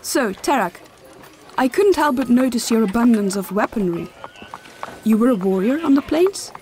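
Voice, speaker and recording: A woman's voice: a little high, animated, normal speed, darkish tone, slight background noise, natural voice. A woman playing a gracious, polite noble mother in a fantasy audio drama. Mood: polite curiosity.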